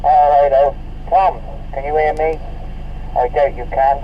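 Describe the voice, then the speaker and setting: A man's voice: fairly high pitch, steady tone, unhurried. A man chatting casually over CB radio in a matey, informal way. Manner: matey, informal, acknowledging signals. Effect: CB radio transmission. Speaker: CB radio operator. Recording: muffled distant CB radio, faint noise.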